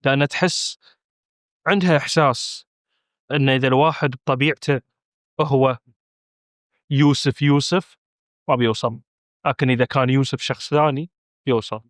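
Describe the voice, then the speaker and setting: A man's voice: medium pitch, fairly even intonation, normal speed, pauses often, dry recording, clean studio sound. A man hosting a podcast, putting brief, probing questions to his guest. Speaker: podcast host. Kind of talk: interview questions.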